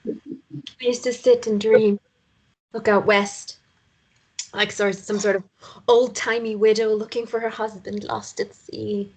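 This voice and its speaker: A woman's voice: medium pitch, flat delivery, measured pace, pauses often, darkish tone, breathy edge, low-quality recording. A woman playing a wistful, dreamy mother by the coast in a naturalistic romance drama read, understated and reflective. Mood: wistful, playful.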